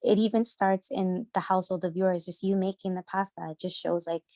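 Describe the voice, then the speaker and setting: A young woman from Sri Lanka, a podcast guest talking casually and haltingly, with many fillers and restarts. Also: on the low side, fairly even intonation, mellow, no echo, clean studio sound. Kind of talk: casual discussion.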